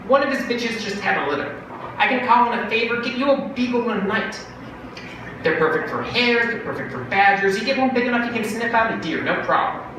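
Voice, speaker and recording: A man voicing a fast-talking, wheedling brother pitching a bargain in a dramatic monologue from a short story. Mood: eager, cajoling. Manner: salesman-like, cajoling, animated. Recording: very echoey room, distant mic, noisy.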